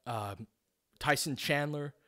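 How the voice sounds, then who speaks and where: A man's voice: a little high, takes it slowly, pushed and bright. A man doing live basketball play-by-play, speaking in clipped, informal phrases and adding tactical analysis as he goes.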